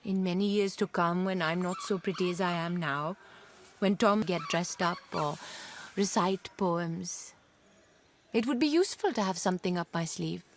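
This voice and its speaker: A woman's voice: fairly low pitch, normal speed, lightly acted. Woman playing coquettish young wife, noisy radio drama. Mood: earnest, confiding. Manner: theatrical, coquettish, excitable. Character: proud, girlish young Indian wife with a secret.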